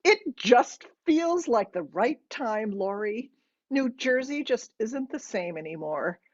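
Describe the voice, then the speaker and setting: A woman's voice: on the high side, lively intonation, takes it slowly, dull audio, voice pushed up. A woman narrator voicing a breezy, self-absorbed eighty-year-old mother with a theatrical, insistent manner in an audiobook story. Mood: breezy, pleased.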